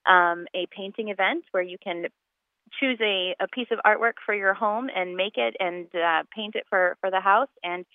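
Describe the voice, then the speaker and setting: Convidada nascida na Polônia, conversa casual por telefone, linha fina e abafada, cheia de "um" e recomeços. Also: female voice, on the low side, no echo. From Poland. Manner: chatty, with many ums and restarts. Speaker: guest fundraiser organizer. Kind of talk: casual radio interview by phone. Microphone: phone line. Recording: tinny phone line.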